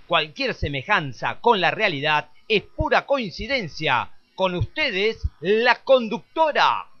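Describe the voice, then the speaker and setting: A man's voice: high-pitched, very animated, slow. A man announcing a scripted comic introduction. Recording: dull lo-fi audio with music.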